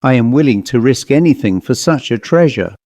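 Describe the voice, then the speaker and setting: A man reading a storybook, voicing an excitable, reckless English adventurer in an emphatic, eager manner. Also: mid-range voice, brisk, no room sound, clean studio sound, lightly acted. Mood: defiant.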